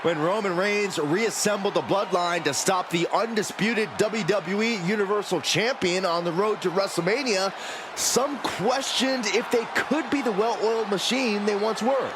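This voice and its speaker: A man calling wrestling as an excited play-by-play commentator. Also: high voice, normal speed. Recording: loud arena crowd and noise. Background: roaring crowd.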